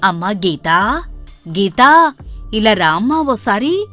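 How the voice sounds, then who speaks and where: A woman voicing a gentle widowed mother in an animated story, mildly reproachful. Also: medium pitch, slow pace, soft background music, exaggerated voice.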